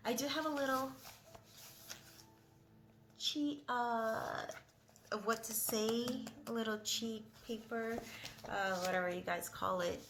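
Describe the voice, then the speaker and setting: A woman's voice: on the high side, creaky, bright, forceful tone. A young woman, not a native English speaker, talking in a casual, rambling vlog with many hesitant fillers. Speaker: vlogger.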